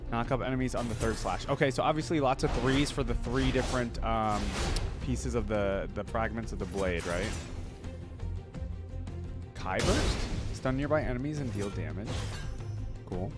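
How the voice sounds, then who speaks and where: A man's voice: medium pitch, normal speed. Laid-back-worded man reacting, music behind. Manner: thinks aloud, echoes what is heard. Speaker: reaction video host. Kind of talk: casual reaction commentary.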